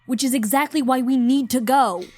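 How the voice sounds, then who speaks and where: A woman's voice: sits high, fairly quick, darkish tone, breathy edge. An actress playing Maddie, a driven, blunt young woman in a horror audio drama. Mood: pleading. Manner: blunt, determined. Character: stubborn, driven young woman chasing the truth. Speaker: voice actor.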